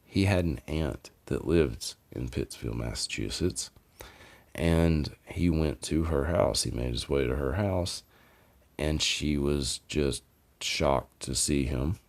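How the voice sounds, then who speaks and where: A man's voice: rumbling low, slow, vocal fry. A man hosting a podcast, retelling stories in an informal, rambling way and often correcting himself. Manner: informal, digressive, self-correcting. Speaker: podcast host. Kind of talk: casual, rambling retelling of mysteries.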